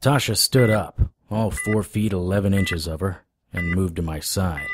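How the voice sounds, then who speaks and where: A man's voice: low voice, fairly even intonation, measured pace, noisy background. An actor playing a wry, sarcastic hard-boiled private investigator who narrates his own noir audio drama. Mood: wry narration. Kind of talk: noir radio drama with first-person narration.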